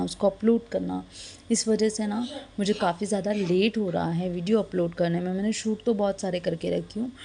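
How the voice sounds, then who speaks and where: A young woman from India talks through her day in a relaxed, chatty way, slipping in English phrases and plenty of fillers. Her voice sits a little low and is hoarse and gravelly from a sore throat. A faint hiss of background noise runs under the recording. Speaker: vlogger. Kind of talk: casual vlog narration.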